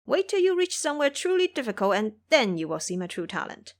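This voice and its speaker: A brash magical monkey king from an audio drama, acted out by a woman. He is boastful and commanding, throwing his voice up high with very animated, exuberant swings in pitch. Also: fast talker. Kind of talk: audio drama character acting.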